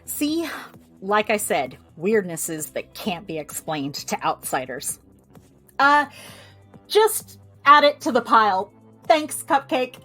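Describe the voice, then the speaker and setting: A woman's voice: medium pitch, big pitch swings, slow, bright, forceful tone, soft background music, full character voice. An actress playing a chatty, wry young American woman who runs a magical family clinic, in an audio drama. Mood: exasperated, teasing. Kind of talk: audio drama, recording a personal log.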